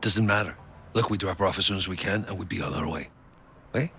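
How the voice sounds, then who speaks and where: A clipped, hard-bitten sidekick in a video game. The voice is low and forceful, rattled off quickly and dismissively over faint noise. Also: male voice. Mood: impatient. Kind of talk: video game cutscene acting.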